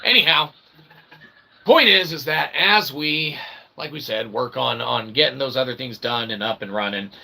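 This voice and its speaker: A man's voice: mid-range voice, moderate pace, gravelly, bright, forceful tone, breathy edge. A man giving a rambling casual monologue to viewers as a channel host, with wordy mock-formal phrasing, frequent okay tags and a self-deprecating manner. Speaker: independent channel host and developer.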